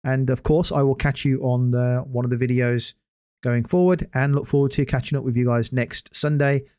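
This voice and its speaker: A man hosting a casual solo gaming podcast, hoarse after hours of talking and rambling with many hesitant restarts. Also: medium pitch, fairly even intonation, moderate pace, a little mellow. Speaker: podcast host.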